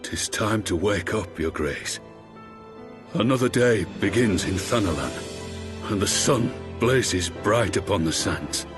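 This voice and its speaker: An actor playing a grave, devoted guardian of a sovereign in a fantasy video game, solemn, courtly and deliberate. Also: male voice, on the low side, expressive. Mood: gentle, solemn. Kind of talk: fantasy video game acting, archaic speech. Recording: clear music behind the voice.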